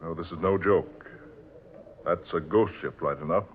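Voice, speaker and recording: An actor playing a gruff, commanding and easily irritated ship's officer in an old-time radio drama. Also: male voice, low voice, normal speed, lightly acted. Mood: grave, awed. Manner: brusque, authoritative, terse orders. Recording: muffled, tinny old radio recording with hiss.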